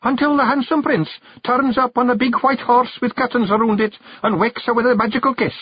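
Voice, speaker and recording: A man voicing a tiny, naive, excitable male fairy sidekick with a Scottish accent, chattering eagerly in an audiobook. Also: very high voice, fairly quick, husky, dry recording, lo-fi sound, pitched above the performer's own voice. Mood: eager, earnest. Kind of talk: audiobook character voice.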